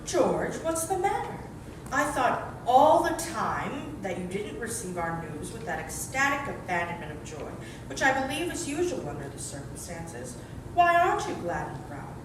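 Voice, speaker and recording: A woman playing a brisk, teasing sister in a stage comedy, speaking in an arch, emphatic, gushing way. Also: sing-song, close to an everyday voice. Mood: mock reproachful. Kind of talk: stage comedy readers theater. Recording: echoey, distant, noisy hall recording with rumble.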